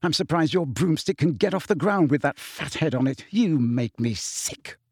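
A sharp, scornful teenage schoolgirl, played by a man reading a storybook. The voice sits high and swings widely as it scolds, indignant and forthright, in a very dry recording. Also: very animated, normal speed, slightly rough, no echo, exaggerated voice. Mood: furious scolding.

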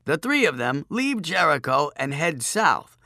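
A man voicing a pedantic chief priest in an audiobook, droning out riddles in a drawn-out, pompous recitation. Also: a little high, sing-song, bright, forceful tone, dry recording, clean studio sound, pitched above the performer's own voice, heavily acted. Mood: pompous testing.

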